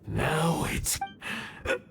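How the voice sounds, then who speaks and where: A man voicing a smug, theatrical, easily flustered villain in a video game. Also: very slow. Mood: smug menace. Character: gloating male villain threatening to bury everyone alive. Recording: echoey, distant, music behind. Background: music.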